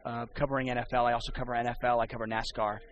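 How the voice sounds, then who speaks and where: Fast-talking broadcaster man, rambling. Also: medium pitch, little pitch movement, dull audio, slight background noise. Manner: rambling, many restarts and fillers. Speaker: poker and sports broadcaster. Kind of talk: casual podcast chat.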